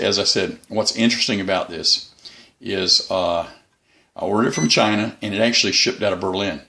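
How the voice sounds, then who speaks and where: Deep voiced guy with lots of vocal fry, hosting a hobby video, rambling along off the cuff, kinda halting. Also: bright, forceful tone. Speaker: host of a shortwave radio hobby channel. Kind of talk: casual unscripted review talk.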